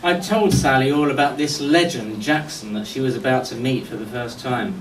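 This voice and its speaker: A middle-aged man giving a eulogy as a speech of humorous anecdotes, told in a wry, unhurried way. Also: mid-range voice. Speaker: speaker giving a memorial tribute. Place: indoor function venue at a golf club, before an audience. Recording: echoey room, distant mic, faint noise.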